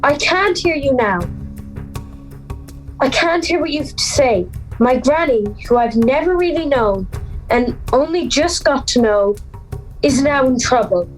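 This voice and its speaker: Young woman playing slow, high-voiced anxious schoolgirl. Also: lively intonation, faint music behind, low-quality recording. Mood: resolute. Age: teenage or young adult. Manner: dramatic, halting, self-questioning. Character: anxious defiant schoolgirl, Little Red, hiding in woods. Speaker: youth theatre actor. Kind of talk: audio drama monologue and dialogue.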